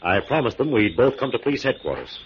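An actor playing a confident crime-fighting hero with brisk, assured 1940s radio delivery. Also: male voice, mid-range voice, sing-song, lightly acted. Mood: assured, questioning. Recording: muffled, noisy 1940s radio recording.

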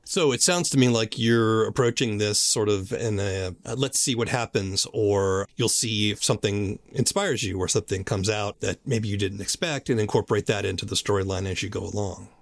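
A man hosting an interview, asking questions conversationally in a measured, thoughtful way. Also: fairly low pitch, creaky. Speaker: podcast interviewer.

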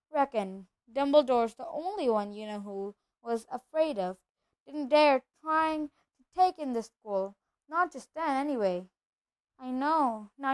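Storybook giant man, played by a woman: gruff, kind-hearted, colloquial and emphatic, pitched high. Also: low-quality recording.

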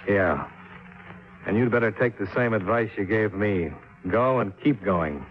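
An actor playing a tough, level-headed insurance investigator in a 1950s radio drama, dry and matter-of-fact. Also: male voice, on the low side, unhurried, natural voice. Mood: firm, questioning. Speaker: lead actor and narrator of a radio detective series. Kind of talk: 1950s radio drama acting, clipped first-person narration. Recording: dull 1950s radio recording, music behind.

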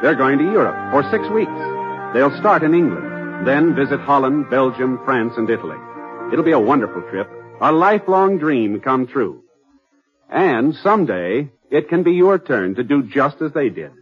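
An American man announcing on 1950s radio in a formal, measured, proclamatory style. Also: medium pitch, sing-song. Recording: dull old radio recording, music behind.

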